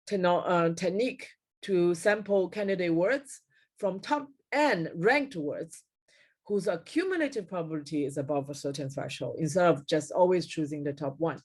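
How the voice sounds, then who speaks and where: A middle-aged woman giving a technical keynote lecture over slides on a video call, pausing often with fillers and correcting herself mid-word. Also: lowish voice, darkish tone. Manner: frequent fillers and self-corrections. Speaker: keynote speaker. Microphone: webcam at desk, video call.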